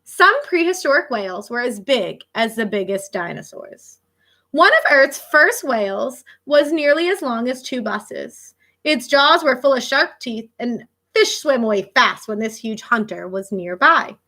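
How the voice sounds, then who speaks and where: Bright, high-pitched woman reading to children. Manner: expressive, child-directed, pausing to react and explain. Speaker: elementary school teacher reading a picture book. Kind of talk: read-aloud of a children's nonfiction book with casual asides.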